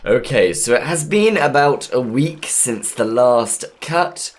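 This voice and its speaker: A man with a hoarse voice from a cold, easygoing and punny as he plans his moves aloud while commentating a game. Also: medium pitch, expressive, slow pace. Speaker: gameplay commentator. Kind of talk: let's play commentary.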